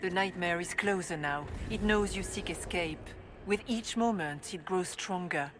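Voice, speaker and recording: A woman's voice: lowish voice, unhurried, music in the background, lightly acted. An actress playing a solemn, cryptic female spirit guide in a fantasy video game. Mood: solemn warning.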